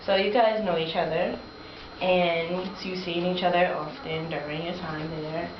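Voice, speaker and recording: Earnest female interviewer, acted by a woman, lowish voice, hesitant questions, muffled student recording. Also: fairly even intonation, measured pace, natural voice. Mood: earnest, informal. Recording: echoey, noisy lo-fi room recording.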